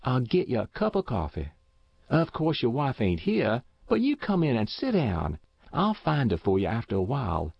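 Man as stout old American farm wife, exclaiming, motherly, folksy, high voice, dull recording. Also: wildly swooping pitch, normal speed, vocal fry, slightly rough, no echo, exaggerated voice. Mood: pitying. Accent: rural American. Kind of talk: audiobook character voice.

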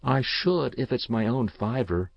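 A man's voice: mid-range voice, lively intonation, unhurried, gravelly, no room sound, muffled recording. Man voicing an earnest, grave little boy. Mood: quietly insistent. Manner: serious, polite, quietly insistent. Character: grave, earnest young boy with secret certainty. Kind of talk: storybook character reading.

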